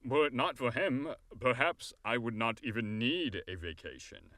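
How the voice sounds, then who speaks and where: A man playing a weary, cunning fairy king in an audio drama, speaking formally and deliberately. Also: mid-range voice, expressive, pushed and bright, no echo, clean studio sound. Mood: exasperated.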